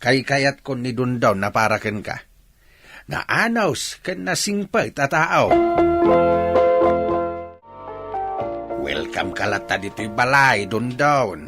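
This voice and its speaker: An actor playing teasing men of a village in an Ilocano comedy radio drama, with drawn-out exclamations. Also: male voice, mid-range voice, sing-song, loud music behind the voice. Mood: persuading.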